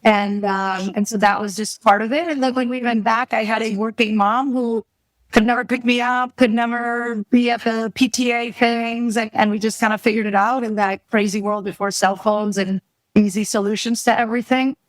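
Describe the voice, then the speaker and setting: A woman from Venezuela with a mild Venezuelan accent, a guest who candidly recounts her childhood in a measured way. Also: on the high side, steady tone, dry recording, poor audio. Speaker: guest, venture capitalist. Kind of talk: reflective personal storytelling.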